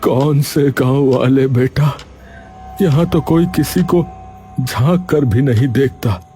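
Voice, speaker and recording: An actor playing a weary, grieving older village man in a story, halting and heavy with hopelessness. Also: medium pitch, dark tone, soft background music, natural voice. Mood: bitter, hopeless. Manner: halting, heavy, despairing delivery. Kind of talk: acted Hindi audio story dialogue.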